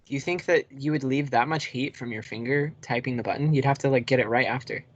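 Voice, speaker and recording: A man's voice: medium pitch, fast, muffled recording. A young man co-hosting a casual podcast over a voice call, bantering in a teasing way. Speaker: podcast co-host.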